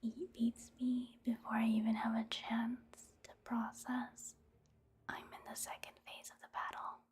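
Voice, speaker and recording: A woman whispers her way through casual video game commentary in ASMR style. Her voice is breathy and fairly high, and she keeps a gentle, unhurried flow. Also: little pitch movement.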